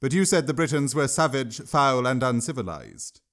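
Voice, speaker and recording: A man voicing a patient, calm king who speaks in a measured way. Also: medium pitch. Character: patient, calm old-world male king.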